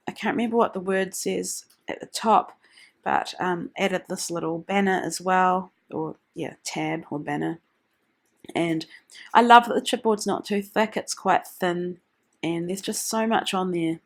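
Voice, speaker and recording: Nasal woman recovering from flu. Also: lowish voice. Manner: chatty, meandering, with many ums. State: nasal, getting over the flu. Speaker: scrapbooking vlogger. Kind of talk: casual voice-over narration of a craft process.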